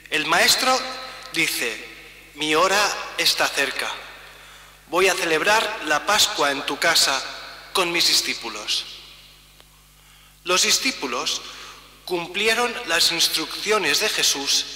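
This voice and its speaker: Slow, bright-voiced priest in a reverberant church. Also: male voice, on the high side. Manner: measured, solemn, pausing between phrases while signing. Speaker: priest reading the Passion. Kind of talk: slow liturgical Gospel reading. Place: church sanctuary, large indoor space. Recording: roomy church reverb, mic at a distance.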